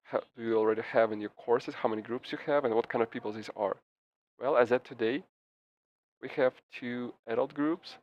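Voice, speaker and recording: A man giving live interpretation of a press briefing, halting with frequent restarts and fillers. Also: mid-range voice. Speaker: simultaneous interpreter. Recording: slightly muffled with faint background noise.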